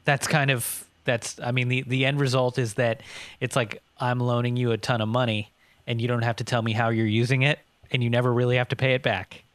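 Measured American man, dry mid-pitched voice. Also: level tone, a bit bright, no echo. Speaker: podcast co-host. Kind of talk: casual political panel discussion.

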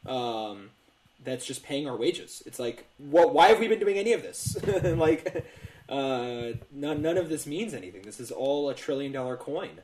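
A man's voice: mid-range voice, expressive, normal speed, a little mellow, a little hiss or hum. An American man co-hosting a podcast, explaining his take on politics in an earnest, casual way. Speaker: podcast co-host. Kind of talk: casual political panel discussion. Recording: echoey, roomy sound.

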